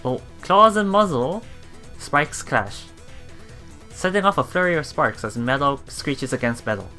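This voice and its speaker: Guy doing a visual novel lets play, bright voice, trips on words and rereads them, music in the back. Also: a little high, expressive. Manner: halting, stumbles over words and repeats them. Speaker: let's play streamer. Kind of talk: reading visual novel text aloud with off-the-cuff reactions. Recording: music behind the voice. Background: game music.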